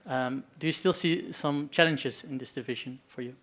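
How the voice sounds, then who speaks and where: Mid-pitched man questioning fighters. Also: moderate pace, a bit bright. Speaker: press conference moderator or questioner. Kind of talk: press conference questions.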